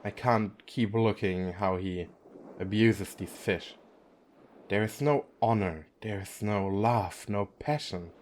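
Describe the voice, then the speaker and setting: Man acting as young femboy sea god, ASMR roleplay, soft, slightly low, unhurried, hesitant, gentle, weary, playfully kind. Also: slight background noise. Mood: weary, troubled. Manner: soft, hesitant, with frequent pauses and small chuckles.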